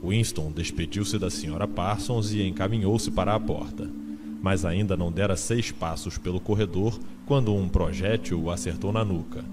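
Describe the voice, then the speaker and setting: A man's voice: low-pitched, normal speed. A man narrating a literary audiobook in a dramatized style. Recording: noisy ambient sound-effects bed. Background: ambient sound effects.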